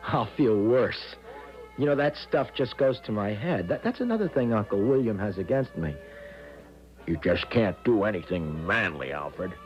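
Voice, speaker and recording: Shy, low-voiced young student. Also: male voice, big pitch swings, normal speed, faint music behind. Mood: timid, downcast. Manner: hesitant, timid. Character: shy, homesick, timid young American male student. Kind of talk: 1970s radio drama acting. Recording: dull lo-fi radio recording.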